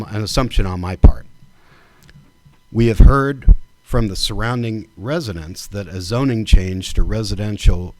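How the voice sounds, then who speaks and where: A man with a fairly low voice reads out a formal proposal, measured and deliberate. The sound is dry and close. He speaks at a public township meeting. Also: darkish tone, dry recording. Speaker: township trustee.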